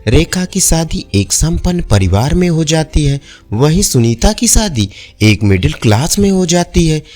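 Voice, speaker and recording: A man narrating a scripted village folk story, reading in an expressive, animated way. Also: a little high, unhurried.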